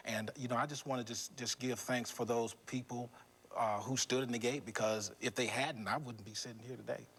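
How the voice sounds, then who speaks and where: A man speaking as an interview guest in an unscripted, halting way, often repeating words. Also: medium pitch, fairly even intonation, a bit bright, slightly rough. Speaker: news photojournalist interviewed as guest.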